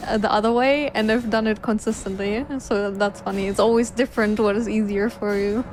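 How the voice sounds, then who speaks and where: A woman with a German accent giving casual commentary on a video game speedrun, chatty and quick to laugh. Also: mid-range voice, steady tone, a little mellow, soft background music. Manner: chatty, laughs often, fillers.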